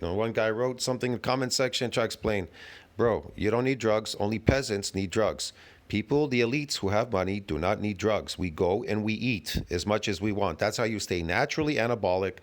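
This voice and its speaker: A man hosting his own channel, giving brash, rambling, dismissive commentary on another creator's video from home. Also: lowish voice. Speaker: channel host reacting to videos. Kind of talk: casual reaction commentary.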